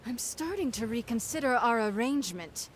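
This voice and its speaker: An actress playing a tough, sardonic pirate captain in a video game, commanding and dryly threatening. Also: female voice, on the high side, animated, moderate pace, slight background noise, lightly acted. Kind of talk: video game cutscene acting.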